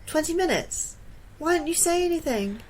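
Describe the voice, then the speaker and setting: A woman's voice: extremely high pitch, expressive, normal speed, rough recording. An actress playing an anxious, earnest woman astrobiologist in an audio drama, flustered in manner. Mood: indignant.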